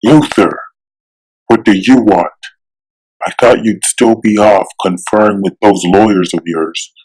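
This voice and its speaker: A man voicing a stern, steadfast superhero with a firm, measured delivery in a comic-book drama. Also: lowish voice, a little mellow, rough recording. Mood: wary. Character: stern, righteous male superhero, steadfast and unafraid.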